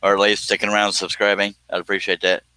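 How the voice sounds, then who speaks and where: A man's voice: lowish voice, little pitch movement, quick pace, pushed and bright, clear, resonant tone, no echo, poor audio. A man in his fifties hosting a casual live stream, chatting in a folksy, joking, teasing way. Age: 50s.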